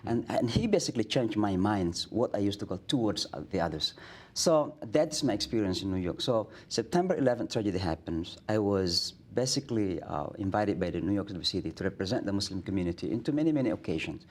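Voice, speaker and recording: Middle-aged man, Indonesian accent, earnest guest storyteller, slightly low voice. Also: brisk. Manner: earnest, many restarts and fillers, grows animated. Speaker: imam, guest. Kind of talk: studio interview, storytelling. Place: TV studio.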